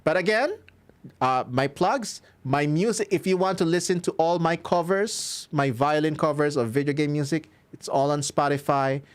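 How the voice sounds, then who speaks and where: A man's voice: fairly high pitch, normal speed, smooth. Upbeat Filipino man signing off a stream. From Philippines. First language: Filipino language. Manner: chatty, upbeat, chuckles often. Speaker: streamer and violinist. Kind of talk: casual livestream sign-off to chat.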